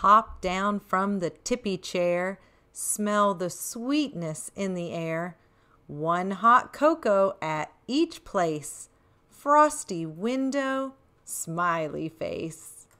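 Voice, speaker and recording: A middle-aged woman hosting a children's read-aloud, expressive, reading picture-book lines and chatting to young viewers with questions. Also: mid-range voice, drawn-out words. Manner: expressive, addressing small children with questions and exclamations. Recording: roomy echo, distant microphone.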